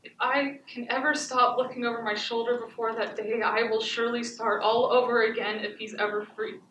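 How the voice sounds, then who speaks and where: A young woman playing a wounded but resolute survivor of domestic abuse in a dramatic stage monologue, with deliberate, declamatory phrasing. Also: on the high side, flat delivery, a bit bright, lo-fi sound, natural voice. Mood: somber, resolute. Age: young adult. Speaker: high school stage actor. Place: indoor theatre stage, far camera. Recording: echoey theatre, distant mic, faint noise.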